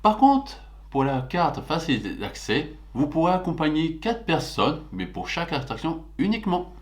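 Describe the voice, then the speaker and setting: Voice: mid-range voice, huge pitch swings, normal speed. A co-host who asks questions and jokes around in casual chat.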